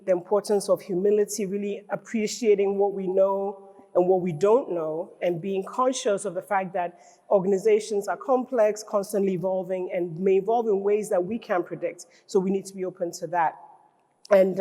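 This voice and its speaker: Woman, middle-aged, from Ghana, keynote speaker, mellow tone, thoughtful with frequent restarts, long hall echo. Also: medium pitch, fairly even intonation, normal speed. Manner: thoughtful, restarts phrases while gathering her thoughts. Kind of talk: conference keynote talk. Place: large conference hall. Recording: long hall reverb from the stage.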